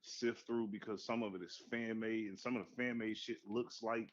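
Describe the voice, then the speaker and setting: Excitable, swearing man, lo-fi sound. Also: moderate pace. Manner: animated, profane, swings to high excited outbursts. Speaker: podcast co-host. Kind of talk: casual movie discussion. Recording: dull lo-fi sound.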